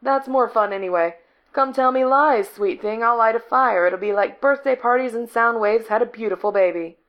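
A woman's voice: on the high side, normal speed, pitched above the performer's own voice, natural voice. A woman voicing an airy, flirtatious radio operator who teases playfully in an audiobook story. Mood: teasing, flirty. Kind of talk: acted audiobook dialogue.

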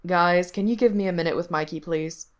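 A woman's voice: lowish voice, fairly quick, lightly acted. A woman voicing a caring, patient father figure in a story, speaking frankly and informally. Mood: casual, concerned. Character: caring, patient fatherly man, gently concerned.

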